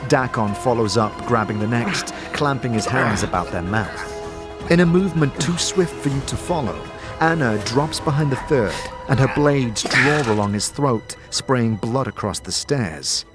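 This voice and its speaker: A man giving dramatic, descriptive second-person narration for a fantasy audio drama, deliberate and theatrical. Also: mid-range voice, lively intonation. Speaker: narrator and game-story performer. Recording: faint music behind the voice. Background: faint music.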